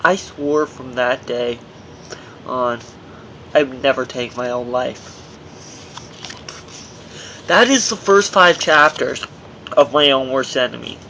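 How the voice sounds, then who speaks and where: A man's voice: mid-range voice, takes it slowly, gravelly, pauses often, pushed and bright, slight background noise, rough recording. A man reading his own memoir aloud as scripted audiobook narration, in an earnest manner with occasional stumbles over words.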